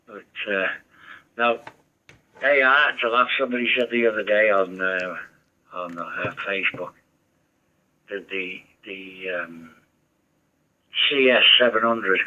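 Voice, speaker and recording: A man chatting over a ham radio, rambling and giving advice. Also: fairly low pitch. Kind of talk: casual radio chat. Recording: dull muffled radio audio.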